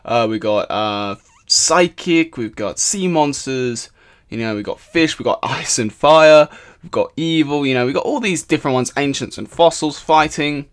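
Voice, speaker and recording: Aussie guy hosting a game vid, bit hoarse, rambly and upbeat, lots of ums. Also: a little high, animated, normal speed. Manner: rambling, upbeat, many fillers and restarts. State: slightly hoarse from shouting. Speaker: gaming channel host. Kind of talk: casual game commentary.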